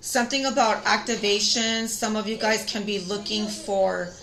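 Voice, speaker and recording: A woman in her thirties with a raspy, tired voice, chatting casually to viewers as she reads tarot cards. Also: mid-range voice, fairly even intonation, normal speed, fairly bright tone, low-quality recording. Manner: chatty, talks straight to viewers. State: tired voice from singing. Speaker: tarot reader. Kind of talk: casual spiritual reading.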